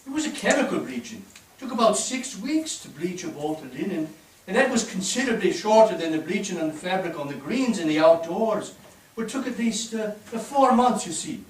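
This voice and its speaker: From far off in an echoey theatre, a man plays an immigrant telling stories of his youth. His high voice moves in lively swings, with folksy little asides. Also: normal speed. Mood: reminiscing. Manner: animated storytelling, folksy asides. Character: chuckling Irish immigrant man recounting his boyhood. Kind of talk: staged play reading, reminiscence. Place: theatre stage. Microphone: distant microphone. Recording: distant, echoey stage pickup.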